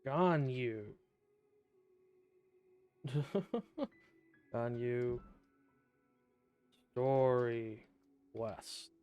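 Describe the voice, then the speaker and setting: A man's voice: medium pitch, fairly even intonation, very slow, long pauses, a little mellow, clean tone, highs cut off, soft background music. A man streaming a video game live, chatting casually, thinking aloud and reading game text in a laid-back way.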